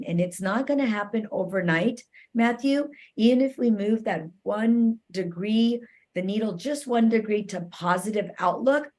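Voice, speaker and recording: Explanatory female guest, mid-pitched voice. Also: darkish tone. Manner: explanatory, checks in with "right?". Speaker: interview guest, cultural competency expert. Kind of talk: conversational advice-giving.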